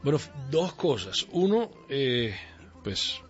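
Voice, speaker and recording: A man's voice: mid-range voice, sing-song, slow. A man with a Caribbean coastal Spanish accent talks as a guest on a radio show. He is cheerful and reflective and laughs easily. The recording is a little muffled, with faint music underneath. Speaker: guest singer. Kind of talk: radio interview. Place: radio studio.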